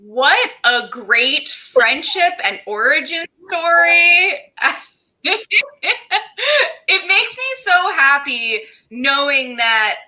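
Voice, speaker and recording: A woman's voice: sits high, expressive, extremely slow, bright, forceful tone. A woman hosting a casual, conversational interview in a gushing, encouraging way. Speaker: interview host.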